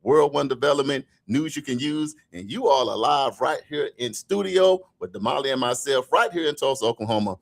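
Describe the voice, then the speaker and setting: A man's voice: mid-range voice, lively intonation, fairly quick, creaky, few pauses. A man hosting a morning radio show in a studio, talking in an upbeat, easygoing way. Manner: upbeat, easygoing, laughs between lines. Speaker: radio host. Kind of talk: casual morning radio chat.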